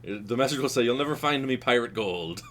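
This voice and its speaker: A man co-hosting a podcast in casual chat, sounding nasal as he gets over a cold, telling dry deadpan jokes and laughing often. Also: mid-range voice, quick pace, slight background noise. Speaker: podcast co-host.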